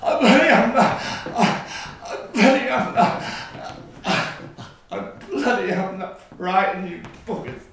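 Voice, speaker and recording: A man's voice: sits high, expressive, measured pace, slight background noise, full character voice. An actor playing a frightened, defiant eight-year-old boy who swears and blurts in a solo stage monologue, heard in a very echoey space from far off. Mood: defiant, shouting. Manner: hushed then shouting in panic.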